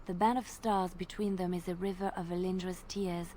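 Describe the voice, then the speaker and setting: An actress voicing a gentle young woman bard in a video game, speaking softly and earnestly. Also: on the low side, flat delivery, normal speed, a little hiss or hum, close to an everyday voice. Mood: wistful. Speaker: game character telling a tale. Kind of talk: scripted video game dialogue.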